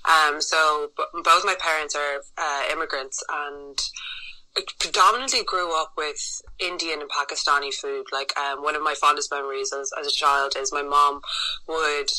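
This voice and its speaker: A woman's voice: sits low, fairly even intonation, moderate pace, pushed and bright, rough recording. A woman from Ireland, a researcher guest on a podcast, talking in a chatty way over a remote call and full of fillers. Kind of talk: casual interview chat. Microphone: remote video call.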